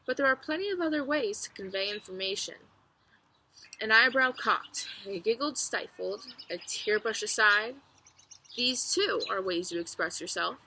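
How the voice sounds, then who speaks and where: A woman reading a children's book aloud as narrator, expressively, with clear storytelling phrasing and emphasis. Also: mid-range voice, lively intonation, moderate pace, pushed and bright, a little hiss or hum, poor audio.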